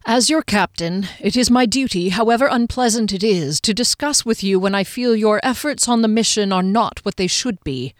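A woman's voice: mid-range voice, no echo, close to an everyday voice. Lady reader doing a stiff proud army captain guy from a fantasy audiobook, intense, careful words, simmering, dry sound. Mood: stern, restrained. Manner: formal, deliberate, intense. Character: proud male captain, formal, tense with restrained anger.

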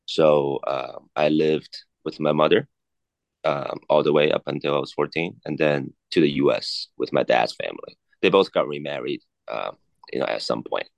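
A man in his thirties from China, a native Cantonese speaker, talking haltingly as an interview guest with many fillers and restarts. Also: rumbling low, gravelly, dry recording. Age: 30s. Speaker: guest, watch collector. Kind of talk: casual interview chat.

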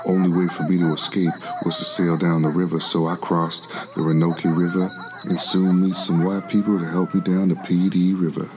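An actor playing a weary, determined man who escaped slavery in the 1700s, narrating his flight in a measured, solemn stage style. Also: sits low, flat delivery, a little mellow, soft background music, close to an everyday voice. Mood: grave, recounting. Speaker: narrator in a gospel oratorio. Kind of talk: dramatic scripted first-person narration.

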